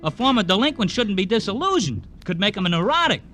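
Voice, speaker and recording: A man's voice: sits high, sing-song, fast, sharp tone, exaggerated voice. An actor playing a cocky, streetwise New boy in a 1950s radio drama, wisecracking with mock-formal big words. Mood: cocky, complaining. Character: cocky streetwise boy, child president of a club. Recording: noisy old radio recording.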